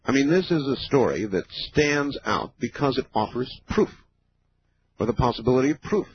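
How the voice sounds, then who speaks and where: Man, 50, radio call-in host, measured and explaining, dull lo-fi broadcast, faint hiss. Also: mid-range voice, expressive. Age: 50s. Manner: measured, explaining, many filler pauses. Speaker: radio talk show host. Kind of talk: late-night call-in radio.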